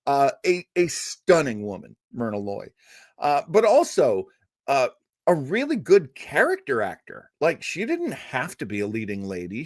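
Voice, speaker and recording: A man hosts a livestream in a casual, enthusiastic way, dotting his talk with "uh" and "you know." His voice rises and falls in a lively way. The sound is dry and close. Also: on the high side, no room sound. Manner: chatty and enthusiastic, with many fillers. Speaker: host of a livestreamed radio-show listening party.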